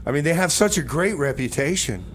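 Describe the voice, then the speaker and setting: A man's voice: fairly high pitch, lively intonation, fairly quick, fairly bright tone, a little hiss or hum. A man hosting a community radio music show in a casual, laid-back, skeptical manner with comic banter.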